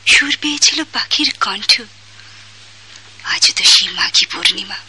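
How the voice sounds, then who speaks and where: An actress playing a proud, lovestruck young princess, speaking lyrically and formally in a Bangladeshi accent, in old-fashioned radio drama style. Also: female voice, a little high, bright, forceful tone, husky, exaggerated voice, low-quality recording. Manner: lyrical, formal, theatrical delivery.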